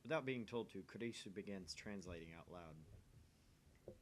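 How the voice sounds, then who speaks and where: A man's voice: sits low, animated, unhurried, slight background noise. A man reading a visual novel's narration and dialogue aloud in a dramatic reading. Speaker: amateur voice reader of a visual novel.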